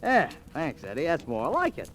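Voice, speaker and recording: An actor playing a brash, wisecracking bookie in slangy, needling 1940s radio drama style. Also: male voice, a little high, wildly swooping pitch, moderate pace, a bit bright. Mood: gleeful, cocky. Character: brash, wisecracking male bookie, fast-talking and cocky. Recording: old radio recording with music.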